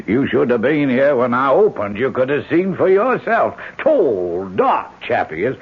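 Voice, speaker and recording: A jovial British village publican, garrulous and folksy, with a husky voice and a very lively lilt. It is radio drama with a tinny, phone-like old broadcast sound. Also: male voice, medium pitch, a little slow, heavily acted. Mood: amused. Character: jovial, chatty village pub landlord. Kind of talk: radio mystery drama acting.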